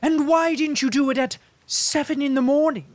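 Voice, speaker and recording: A man's voice: very high-pitched, fairly even intonation, normal speed, no echo, voice pushed up. A man reading the part of a sardonic, witty diplomat, speaking with ironic, teasing emphasis. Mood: mocking. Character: sardonic witty male diplomat, mocking and urbane. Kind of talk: audiobook character reading.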